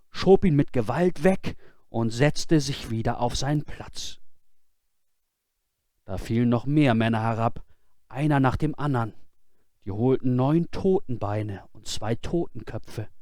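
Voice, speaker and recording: A male audiobook reader narrates a fairy tale with dramatic expression. His pace is slow and his pitch moves in lively arcs, in a dry, close recording. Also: no room sound.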